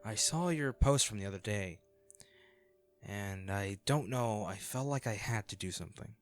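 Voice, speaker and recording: A man acting in an audio roleplay as an anxious, self-deprecating young man, a caring old crush who talks haltingly and intimately to the listener. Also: fairly low pitch, lively intonation, faint music behind. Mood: soft, wistful. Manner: hesitant, halting, sighing.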